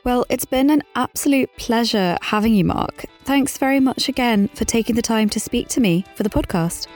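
A woman's voice: on the high side, normal speed, darkish tone, faint music behind. A woman hosting a business podcast, speaking in a polite, articulate and formal interviewing manner.

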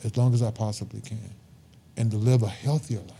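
A man's voice: lowish voice, steady tone, brisk, vocal fry, warm tone, breathy edge. A man in his fifties, a truck driver being interviewed, talking earnestly and emphatically and repeating his points. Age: 50s. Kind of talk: casual interview talk.

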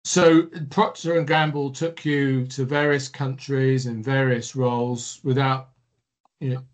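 A middle-aged man hosting an interview, agreeing warmly and asking follow-up questions. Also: mid-range voice, level tone, unhurried. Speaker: interviewer host. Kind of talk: conversational interview.

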